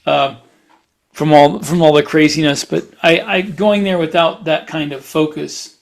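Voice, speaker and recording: A man with a fairly high, gravelly voice talks casually on a podcast. He rambles in a halting way, often doubling back on his words as he goes. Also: steady tone. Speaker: podcast host, writer. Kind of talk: casual chat.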